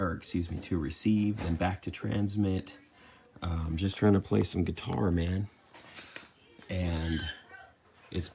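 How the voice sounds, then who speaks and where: A man giving a casual spoken product review in an exasperated, grumbling, halting manner. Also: low-pitched, a little mellow. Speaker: customer reviewing a gadget. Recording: clearly noisy with clicks.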